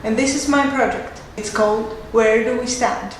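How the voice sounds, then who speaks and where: A woman's voice: on the high side, fairly even intonation, normal speed. A woman in her twenties introducing her project and herself in a documentary interview, in a friendly, straightforward way. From Greece. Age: 20s. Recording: echoey room, distant mic, noisy background.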